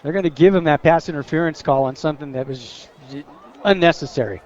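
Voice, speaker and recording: A man's voice: fairly high pitch, fairly quick. An American man giving conversational, home-team analysis between plays as a color commentator on a live football radio broadcast. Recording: dull lo-fi sports radio broadcast.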